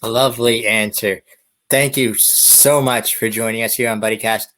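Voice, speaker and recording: A man's voice: fairly low pitch, fairly even intonation, normal speed, a bit bright. A man hosting a podcast interview in a friendly, conversational style, putting questions to a guest.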